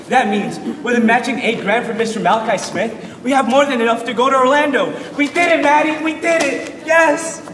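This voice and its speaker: A man playing an upbeat emcee and eager young boyfriend on stage, announcing quickly to an audience. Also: high-pitched, a bit bright. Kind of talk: live stage comedy play. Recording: roomy hall from far off, audience noise.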